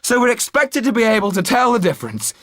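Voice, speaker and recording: A man's voice: extremely high pitch, sing-song, quick pace, a bit bright, dry recording, clean studio sound, pitched above the performer's own voice, exaggerated voice. A man voicing an earnest, defiant young idealist in a storybook, speaking urgently and directly. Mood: mocking.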